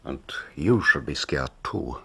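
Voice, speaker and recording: An actor playing a stern, protective older father in a radio drama, grave and warning, with a husky voice. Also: rumbling low, animated, slow pace, bright, forceful tone, exaggerated voice.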